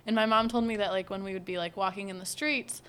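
Young woman telling stories, animated, laughs a lot, casual chat. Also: mid-range voice, fast, smooth. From United States, Iranian parents. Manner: animated storyteller, laughs often.

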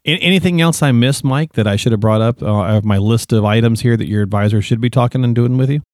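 A man's voice: mid-range voice, fast, darkish tone, no room sound, clean studio sound. Sniffly, chatty male podcast host. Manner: chatty, colloquial, folksy phrasing. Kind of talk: casual podcast chat.

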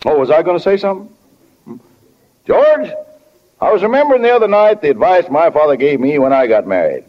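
A man's voice: fairly high pitch, very animated, a little fast. An actor playing a kindly, flustered middle-aged small-town father in 1940s radio drama, hesitant and folksy, often fumbling for words. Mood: folksy, reminiscing. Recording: dull, lo-fi 1940 radio recording.

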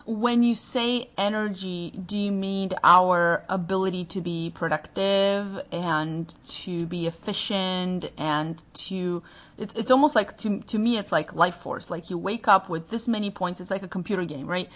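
A woman's voice: fairly low pitch, unhurried, fairly bright tone, lo-fi sound. Bulgarian-accented woman hosting a podcast. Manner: thoughtful, searching for words, restarts often. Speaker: podcast host. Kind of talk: podcast interview.

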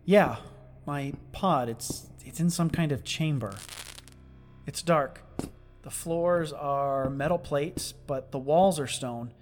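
A man's voice: on the high side, slow pace, soft background music. A man playing a sardonic, irritable starship lieutenant stranded after a disaster, muttering in exasperation in a science fiction audio drama. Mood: tense, cautious. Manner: exasperated, muttering, hesitant asides. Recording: clearly noisy track with clicks.

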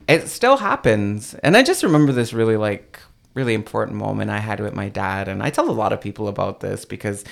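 Low gravelly-voiced man telling a personal story. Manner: reflective storyteller, many fillers. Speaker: guest. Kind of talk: casual podcast chat.

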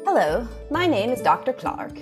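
A woman with a fairly high, animated voice performs an improvised spoof radio advert. She sounds like a mock-earnest expert making a pitch, with music behind her. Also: normal speed. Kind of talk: improvised parody radio commercial.

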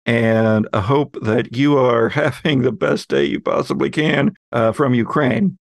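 A man with a middle-pitched, gravelly voice hosts a relaxed chat. His delivery is halting and measured, and the sound is dry. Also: no echo. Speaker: podcast host. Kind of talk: casual hosting chat.

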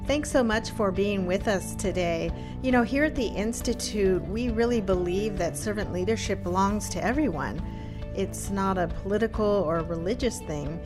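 A woman's voice: medium pitch, normal speed, over music. A woman hosting an interview, introducing her guest in an upbeat way with casual fillers. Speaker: host, institute president.

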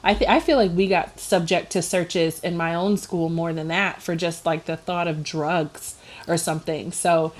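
A woman's voice: on the low side, fairly even intonation, moderate pace, few pauses. A woman, chatting casually as a podcast co-host, giggly and full of fillers. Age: 30s. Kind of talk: casual chat.

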